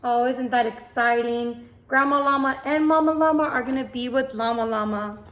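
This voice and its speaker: A woman reading a picture book aloud to young children, expressive and often putting questions to the listener. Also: on the high side, normal speed. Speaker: children's read-aloud host, teacher.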